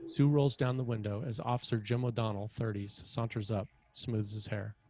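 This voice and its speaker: Low-pitched man narrating a screenplay. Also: steady tone, moderate pace, warm tone, no echo. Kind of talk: scripted screenplay narration, present tense.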